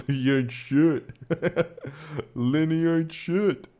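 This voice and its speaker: A man's voice: on the high side, very slow, a little mellow. A man casually reacting to a game cutscene, sarcastic and profane, laughing often.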